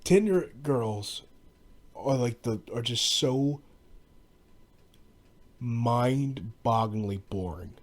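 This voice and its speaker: A young American man hosts a podcast as a loose, rambling rant. His voice sits at an ordinary middle pitch. He sounds exasperated and talks in casual, profane slang with a comic edge. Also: expressive, extremely slow, long pauses. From United States. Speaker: podcast host.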